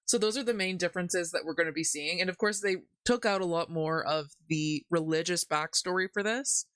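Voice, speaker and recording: A woman's voice: on the low side, fairly quick, fairly bright tone, dry recording, studio quality. A woman hosting a livestream, chatting casually and jokily about folk tales in a rambling way. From Canada. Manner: jokey, giggly, rambling. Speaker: livestream host.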